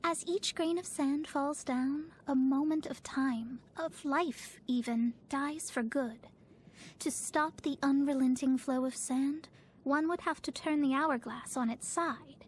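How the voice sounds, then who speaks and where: A woman's voice: high-pitched, slow pace, a little hiss or hum. An actress voicing a proud, haughty young woman astrologer in a video game, speaking in a formal, pompous, theatrical way. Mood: solemn, musing. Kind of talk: scripted video game character voice lines.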